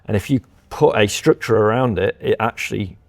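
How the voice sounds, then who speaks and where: A man's voice: lowish voice, normal speed, gravelly. A man giving a step-by-step technical explanation as a company representative presenting audio products.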